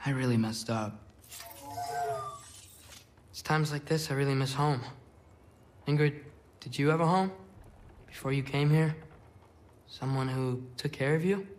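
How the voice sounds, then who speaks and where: A man's voice: medium pitch, measured pace, long pauses, close to an everyday voice. Man playing an earnest teenage boy, noisy background. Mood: remorseful. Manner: earnest, sullen, apologetic. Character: earnest, guilt-ridden teenage boy hero. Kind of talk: dramatic video game acting.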